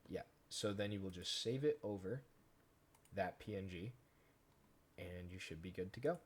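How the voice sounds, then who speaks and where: A man hosting a software tutorial, explaining each step informally in a casual, conversational way. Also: sits low, normal speed, breathy edge. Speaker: tutorial host. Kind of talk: casual screen-recorded software tutorial narration.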